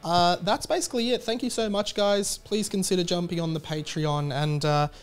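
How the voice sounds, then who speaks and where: An Australian man with a fairly high-set voice hosts a casual podcast. He talks informally and rambles through his thoughts, addressing listeners directly. Faint music plays behind him. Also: normal speed, clear, resonant tone. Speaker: podcast host. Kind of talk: casual solo podcast chat.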